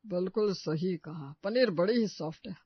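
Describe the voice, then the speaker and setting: A woman's voice: on the low side, moderate pace, husky, dry recording, lo-fi sound. A woman voicing an approving, food-loving father-in-law in a dramatized moral story. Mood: delighted praise. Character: food-loving, approving male head of the household.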